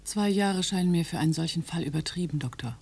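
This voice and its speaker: Female inspector for radio drama: dark, breathy husky voice, measured, quietly defiant, dry. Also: lowish voice. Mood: measured, firm.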